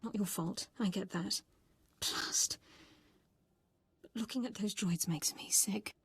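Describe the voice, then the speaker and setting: An actress playing a weary, dutiful military officer in a video game, blunt and bitter but restrained. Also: female voice, medium pitch, slow, husky, no room sound, whispered, exaggerated voice. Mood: troubled anger.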